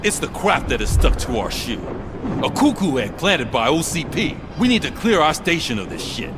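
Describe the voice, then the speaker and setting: Hostile zealot game villain, highish voice swinging wildly, scornful, noisy rumble behind. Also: male voice, sing-song, normal speed. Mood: scornful rallying. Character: hostile male zealot scornfully rallying others to attack. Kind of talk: video game cutscene acting.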